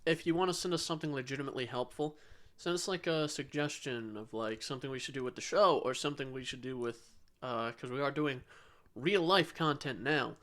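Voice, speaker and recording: A man's voice: mid-range voice, brisk, fairly bright tone. A man co-hosting a podcast in casual chat, rambling and sarcastic, with an occasional stutter. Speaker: podcast co-host.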